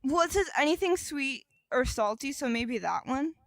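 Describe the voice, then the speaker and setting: A woman's voice: high voice, animated, normal speed, a bit bright, dry recording, studio quality. A woman co-hosting a podcast in casual comedic banter, playful and wry. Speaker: podcast co-host.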